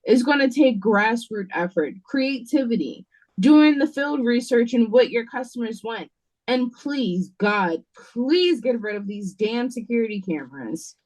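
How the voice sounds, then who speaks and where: A woman's voice: a little high, lively intonation, a little slow, a little mellow, low-quality recording. A woman reading the part of a blunt, fed-up and distressed waitress in an audio play, over a video call. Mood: exasperated, defiant. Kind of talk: audio play table read.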